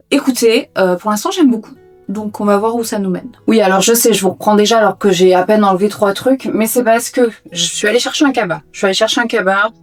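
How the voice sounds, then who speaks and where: Chatty higher-voiced woman tidying books. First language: Portuguese. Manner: chatty, self-mocking, playful asides. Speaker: book vlogger. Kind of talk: casual chat while tidying. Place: her own hobby room.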